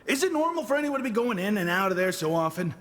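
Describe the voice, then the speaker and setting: A man's voice: high voice, very animated, fast talker, a bit bright. A man playing a gossipy, cynical prison cook who boasts and scorns in a conspiratorial, opinionated way in a video game. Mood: scornful. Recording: game music behind the voice.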